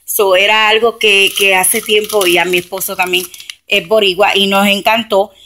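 A woman with a bright, forward voice talks through her shopping finds on camera. Her delivery is chatty and practical, with English words dropped in. It is recorded on a phone held in her hand at home. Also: medium pitch, little pitch movement, moderate pace, a little hiss or hum. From Camden, New Jersey, USA. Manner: chatty, explains prices step by step, mixes in English words. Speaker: couponing vlogger. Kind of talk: casual haul walkthrough. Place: home, beside a shelf of groceries, indoors. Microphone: handheld phone camera.

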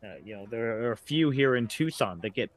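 A middle-aged man talking casually as a podcast guest, a writer who haltingly searches for examples as he goes. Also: medium pitch, expressive.

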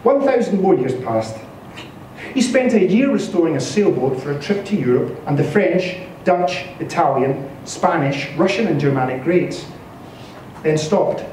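A man in his thirties reading a literary story aloud with expressive, dramatic delivery. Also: fairly high pitch, a little slow. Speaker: story reader at a live literary event. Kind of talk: scripted literary narration read aloud. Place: bare-walled indoor venue, live reading. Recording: echoey room from a distance, faint noise.

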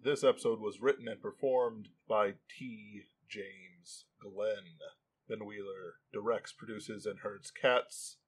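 A man's voice: on the low side, steady tone, slow pace, breathy edge, rough recording. A man reading scripted podcast credits as an announcer.

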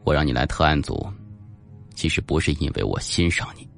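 A man's voice: very deep voice, expressive, a little fast, vocal fry, soft background music, pitched below the performer's own voice, heavily acted. A man voicing a cold, probing police team leader with an insinuating, menacing manner in an audiobook. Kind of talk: audiobook character dialogue.

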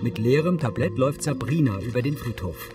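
A man reading scripted narration for a TV series in a measured, matter-of-fact way. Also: mid-range voice, expressive. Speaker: audio description narrator. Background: music.

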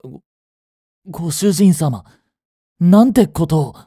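A man playing a polite, devoted android servant overcome by feverish love in a Japanese romantic audio drama, speaking formally in breathy, halting phrases. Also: fairly high pitch, expressive, warm tone, dry recording, studio quality, heavily acted. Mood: feverish, distressed.

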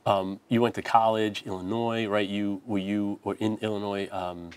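Low-voiced middle-aged priest hosting a show. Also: male voice, flat delivery, normal speed, clear, resonant tone. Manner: scripted intro read, then warm conversational questions with restarts. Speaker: priest host. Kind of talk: TV interview hosting. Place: TV studio. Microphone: lapel mic.

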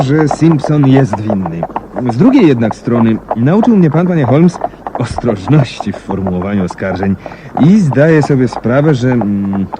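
An actor playing a conscientious, deferential police inspector in a 1970s Polish radio play, polite, careful and a little hesitant. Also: male voice, mid-range voice, lightly acted. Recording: noisy archival 1970s radio recording.

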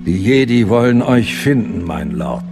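An actor playing a dignified old Sith apprentice in a radio drama, measured, formal and deferential. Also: male voice, lowish voice, animated, music in the background. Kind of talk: German science-fiction radio drama acting.

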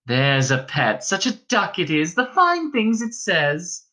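A man's voice: high-pitched, huge pitch swings, slow pace, bright, forceful tone, pitched above the performer's own voice, heavily acted. A man voicing a scheming, motherly innkeeper's wife who coos and coaxes with false sweetness. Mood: cooing, falsely sweet. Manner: coaxing, wheedling, sweetly sinister.

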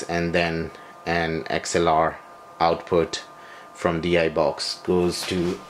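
A man presenting a hands-on equipment tutorial, speaking off the cuff in halting, broken phrases with frequent hesitations. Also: sits low. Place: small bedroom, indoors. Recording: home video with noticeable background noise.

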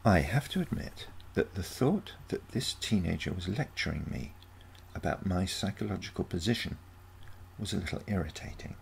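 Low, creaky-voiced man reading a story. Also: animated, normal speed, slight background noise. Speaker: story reader. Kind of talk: scripted first-person short story narration.

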